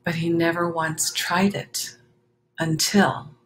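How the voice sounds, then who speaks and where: A woman reading a children's picture book aloud, expressive, with chatty explanatory asides to young listeners. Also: on the low side, slow pace, slight background noise, poor audio.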